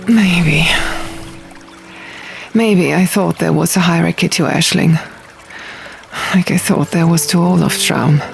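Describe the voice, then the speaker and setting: A woman reading fiction as a dramatic audiobook narration, with measured, deliberate phrasing. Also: fairly low pitch, creaky. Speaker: audiobook reader. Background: music.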